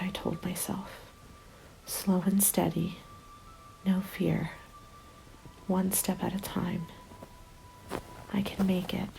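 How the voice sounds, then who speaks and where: A woman playing a weary, despairing zombie apocalypse survivor in a scripted ASMR roleplay, hushed and often slipping into a whisper. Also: fairly low pitch, gravelly, noisy background. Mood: tense, fearful. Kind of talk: ASMR roleplay, scripted first-person narration. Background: soft music bed.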